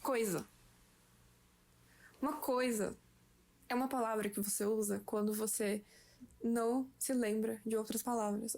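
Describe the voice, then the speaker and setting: Playful Brazilian woman teaching slowly. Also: fairly high pitch, darkish tone. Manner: slow, patient, playful explaining. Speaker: language teacher for a friend. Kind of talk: casual home-made lesson.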